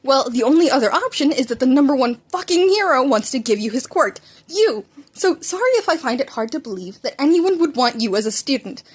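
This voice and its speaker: A brash teenage boy rival, acted out by a woman reading fan fiction. The voice sits high for all its scorn. He is blunt, bossy and quick to insult. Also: lively intonation, husky. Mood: angry, disbelieving. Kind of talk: fan fiction audiobook character voice.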